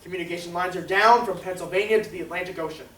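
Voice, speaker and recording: A man's voice: sits high, a bit bright. A man playing a grave, formal radio announcer delivering emergency bulletins in a 1930s broadcast style. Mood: grave, solemn. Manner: solemn, formal, measured. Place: theatre stage, live performance. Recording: echoey distant stage recording, faint noise.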